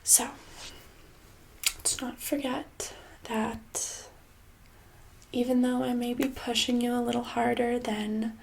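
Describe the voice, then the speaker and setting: A woman playing a controlling, passive-aggressive boss in a soft-spoken ASMR roleplay, breathy and slipping into whispers, condescending and casually menacing. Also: a little high, little pitch movement, slow, pauses often, a little hiss or hum. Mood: softly menacing. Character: controlling, passive-aggressive female boss holding an employee hostage.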